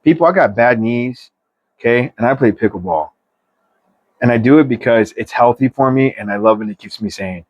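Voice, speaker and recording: A man with a mid-pitched voice gives a chatty tarot reading, recorded dry and close. He talks straight to viewers like an emphatic pep talk, loose and slangy, with the odd mild swear. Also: brisk, no echo, muffled recording. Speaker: tarot reader.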